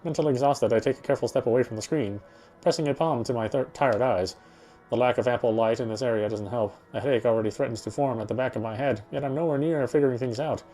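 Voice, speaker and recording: A man reading a horror visual novel aloud in an expressive, first-person storytelling manner. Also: mid-range voice, fast talker. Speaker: let's-player reading a game aloud. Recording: game music behind the voice. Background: game music.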